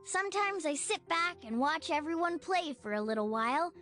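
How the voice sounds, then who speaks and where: A woman's voice: sits high, animated, normal speed, fairly bright tone, soft background music. A woman voicing a sweet, slightly shy little cartoon child who speaks in sing-song rhyme. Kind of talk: scripted rhyming children's game narration.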